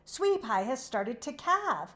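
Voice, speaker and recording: Lady doing a bossy little cowgirl for storytime, pitched up, commanding, caring, really animated. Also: measured pace. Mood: urgent. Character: spirited, bossy young cowgirl, caring and practical.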